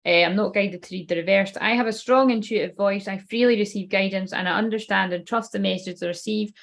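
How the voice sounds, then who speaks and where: A woman's voice: medium pitch, a little fast, creaky. A woman giving a casual tarot card reading. She rambles loosely and swears offhandedly.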